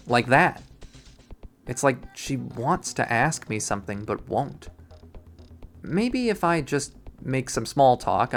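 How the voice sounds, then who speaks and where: A man narrates a visual novel in the first person, reading the story aloud expressively. His voice sits at an average pitch, with music playing faintly behind it. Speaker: visual novel readthrough player.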